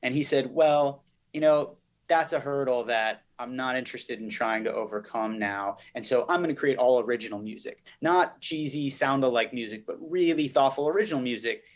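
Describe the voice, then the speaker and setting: A man's voice: mid-range voice, moderate pace. A man speaking as an interview guest, explaining things in an articulate, businesslike way. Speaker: podcast company CEO, interview guest.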